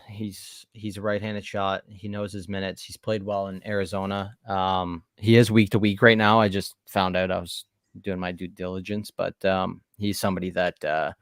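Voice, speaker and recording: A man's voice: deep voice, no echo, studio quality. A man chatting casually about sports as a podcast co-host.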